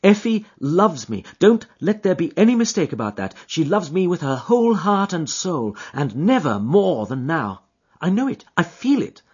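A man voicing a distressed, reserved man in his thirties who speaks in sharp, jerky outbursts. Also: on the high side, big pitch swings, normal speed, slightly rough, lo-fi sound, pitched above the performer's own voice. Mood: earnest.

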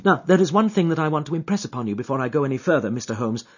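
A man voicing a distressed, reserved man in his thirties who speaks in sharp, jerky outbursts. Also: on the high side, lively intonation, racing, slightly rough, muffled recording, pitched above the performer's own voice. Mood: earnest.

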